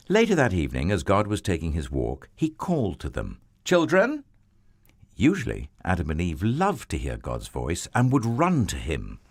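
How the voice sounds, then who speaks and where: A man narrating a children's Bible story in a tender, expressive storybook manner. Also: extremely low pitch, no room sound, clean studio sound.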